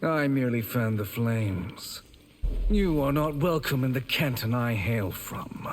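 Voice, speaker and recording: Man acting a sly, slow-spoken sorcerer. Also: medium pitch, animated, a little hiss or hum, natural voice. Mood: sly, threatening. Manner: formal, unhurried, insinuating. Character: sly, scheming male sorcerer, smooth villain. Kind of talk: dramatic video game acting.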